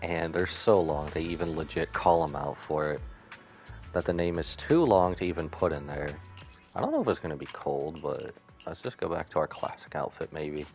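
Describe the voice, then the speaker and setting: A man's voice: rumbling low, animated, normal speed, a little mellow, faint music behind. A man live-streaming a game, giving casual, rambling commentary that drifts into asides.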